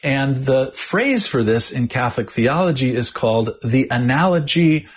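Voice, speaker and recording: A man with a slightly husky voice talks through a topic on a podcast. He explains carefully and thoughtfully, often restarting a phrase as he works out his point. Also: medium pitch, lively intonation, moderate pace. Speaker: podcast co-host. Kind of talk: casual theological discussion.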